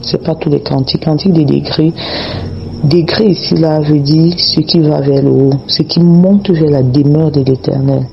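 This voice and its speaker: Male preacher with a head cold, gravelly and flat, slightly dull lo-fi audio. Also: a little high, slight background noise. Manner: slow, repetitive, prayerful. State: has a cold, slightly hoarse. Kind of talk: prayer and Bible teaching.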